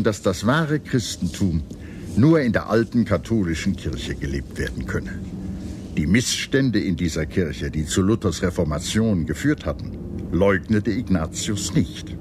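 A man reading scripted historical documentary narration in a measured, articulate storytelling manner. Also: fairly low pitch, background noise. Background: background music.

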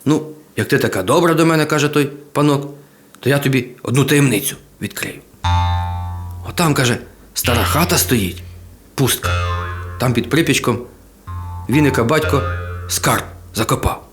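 A man voicing a sly, flattering little gentleman in a folk tale, coaxing and confiding. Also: medium pitch, normal speed, pauses often, voice pushed down, natural voice. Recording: guitar music behind the voice.

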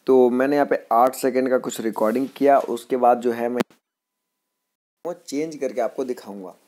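A man's voice: medium pitch, fairly even intonation, moderate pace, a little mellow, a little hiss or hum. A man with an Indian accent hosting a casual voice-over app tutorial. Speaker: host of a tech tutorial channel.

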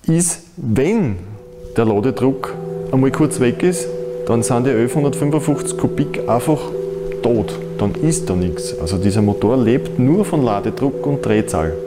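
A man in his forties with an Austrian accent, explaining informally to camera in colloquial German. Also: mid-range voice. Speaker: motorsport presenter.

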